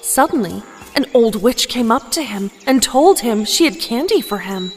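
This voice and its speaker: A woman reading a children's bedtime story aloud in a gentle, expressive way over background music. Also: a little high, moderate pace. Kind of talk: scripted bedtime story narration.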